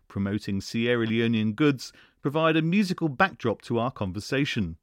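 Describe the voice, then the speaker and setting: A man narrating a scripted radio news report in a measured, even delivery. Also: medium pitch, no room sound, clean studio sound. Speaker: radio correspondent.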